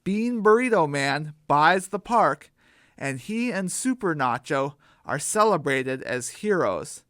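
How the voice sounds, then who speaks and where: A narrator reads a scripted children's radio story at an unhurried pace. The pitch is mid-range and moves expressively, and the recording is close and dry. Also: fairly bright tone, no echo.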